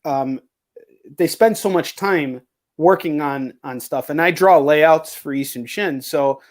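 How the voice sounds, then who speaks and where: A man's voice: on the high side, measured pace. A man chatting casually as an interview host, speaking bluntly and swearing often. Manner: blunt, frequently profane. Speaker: host, comic book writer and publisher.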